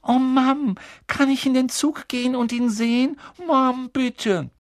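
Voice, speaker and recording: A man voicing a little girl begging her mother, in a high pleading voice. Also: fairly even intonation, slow.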